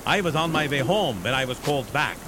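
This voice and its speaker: An actor playing a weary Swiss harbor pilot near retirement, with a Swiss German accent and a hesitant way of searching for English words. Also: male voice, a little high, expressive, brisk, background noise, lightly acted. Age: older. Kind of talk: radio drama acting. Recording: rain and thunder behind.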